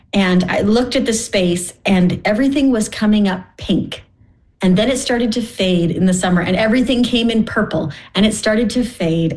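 A middle-aged woman from Canada telling personal stories as an interview guest, candid and gently self-deprecating. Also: medium pitch. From Winnipeg, Canada. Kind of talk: personal storytelling in conversation.

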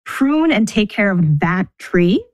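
A middle-aged woman from the United States, talking thoughtfully about her upbringing as an interview guest, pausing and restarting as she thinks. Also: medium pitch, sing-song, a little mellow, no room sound. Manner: thoughtful, measured, restarts mid-sentence. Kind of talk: reflective personal interview.

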